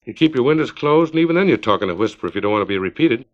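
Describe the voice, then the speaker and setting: A man's voice: mid-range voice, expressive, rapid-fire, natural voice. A man reading an earnest small-town man's emphatic, colloquial dialogue in a story, on a 1950s record. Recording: dull 1950s vinyl record.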